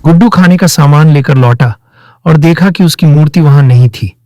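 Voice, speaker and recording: A man's voice: fairly high pitch, moderate pace, dark tone, lo-fi sound, slight background noise. A man narrating a scripted moral story for children as its storyteller.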